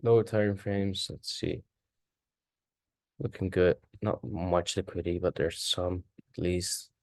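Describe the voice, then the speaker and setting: A man whose first language is Dutch, casually thinking aloud through a chart walkthrough, halting and correcting himself as he goes. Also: low-pitched, steady tone, a little mellow, no room sound. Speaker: forex trader.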